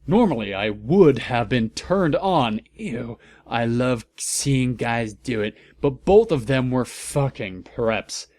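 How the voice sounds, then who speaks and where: A man's voice: medium pitch, expressive, darkish tone. A man narrating a story aloud as a theatrical comedic reading, with mocking asides. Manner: theatrical, deliberately reproducing misspellings. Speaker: narrator and host reading fan fiction aloud.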